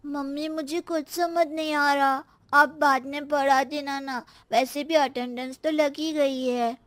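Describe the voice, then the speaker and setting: A woman voicing a lazy, cheeky schoolboy who drawls back-talk in an animated cartoon story. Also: sits high, measured pace, dry recording, pitched above the performer's own voice. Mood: whiny.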